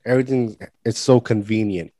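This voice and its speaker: A man's voice: lowish voice, a little fast, mellow, no room sound. A man in his thirties from Puerto Rico chatting informally, repeating words as he goes. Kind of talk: casual conversation.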